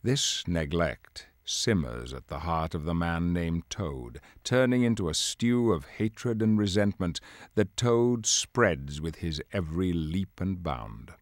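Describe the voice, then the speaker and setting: A man's voice: deep voice, expressive, slow pace, no echo, clean studio sound, full character voice. A man playing a grave, dignified leader of superhero mutants, delivering solemn, portentous dramatic narration for a video game. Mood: grave, measured. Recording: music under the voice. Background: music.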